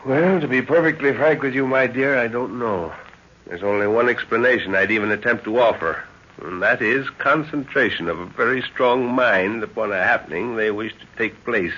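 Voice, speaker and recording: A man's voice: mid-range voice, normal speed, sharp tone, husky. An actor playing a middle-aged, fatherly professor and explorer, hearty and thoughtful, in an old radio drama. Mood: calm, thoughtful. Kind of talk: 1930s radio acting. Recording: muffled 1930s radio recording.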